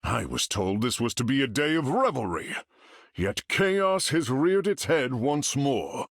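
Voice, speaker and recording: An actor playing a grand, old-fashioned warrior hero in a video game, in a formal, declamatory manner. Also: male voice, medium pitch, very animated, a little slow, gravelly, a bit bright, no room sound, studio quality, heavily acted. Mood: dismayed, declamatory. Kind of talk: video game character voice lines.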